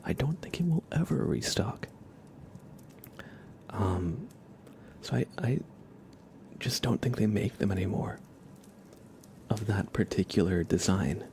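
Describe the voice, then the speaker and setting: A man hosting an ASMR livestream, chatting gently and haltingly to viewers in a soft, whispery murmur. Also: on the low side, animated, slight background noise. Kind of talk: ASMR, casual chat with stream viewers.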